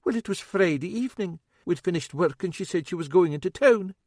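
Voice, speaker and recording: A man's voice: sits high, animated, fairly quick, darkish tone, dry recording, clean studio sound, voice pushed up. A man voicing a timid older Scottish woman, a fretful cook, with a nervous, fussy manner and a Scottish accent. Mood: anxious.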